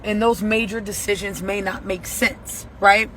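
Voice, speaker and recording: A hoarse woman giving a casual, profane motivational message as a spiritual reader, often asking "right?". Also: medium pitch, fairly even intonation, measured pace, a bit bright. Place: at work, near traffic. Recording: clear traffic noise behind.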